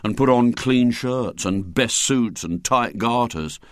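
A man's voice: medium pitch, slow, dry recording, studio quality, close to an everyday voice. A man voicing a resigned schoolboy listing chores with gloomy relish. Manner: resigned, dwelling with relish on details.

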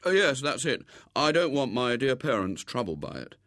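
A man's voice: medium pitch, very animated, moderate pace, fairly bright tone, no echo, clean studio sound. A man voicing a cheeky storybook schoolboy who puts on a virtuous, mournful, hesitant innocence. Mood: earnest pleading.

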